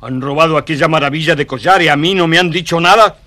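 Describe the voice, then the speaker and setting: An actor playing an imperious, excitable sultan in a theatrical children's story recording, commanding and exclamatory. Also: male voice, on the high side, fast. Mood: astonished, indignant.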